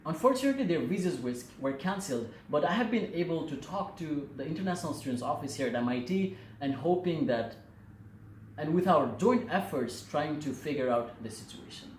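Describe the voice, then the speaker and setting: A man's voice: a little high, expressive, brisk. A man in his twenties from Nepal with a Nepali accent, giving a prepared personal presentation as a student in an earnest, formal way. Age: 20s. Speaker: student speaker. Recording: echoey room with faint noise.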